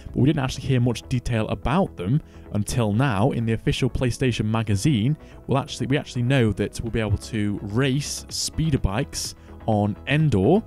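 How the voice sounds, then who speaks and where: A man co-hosting a casual gaming news chat, excitable and slangy. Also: medium pitch, normal speed, hardly any pauses, faint music behind. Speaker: co-host of gaming news show.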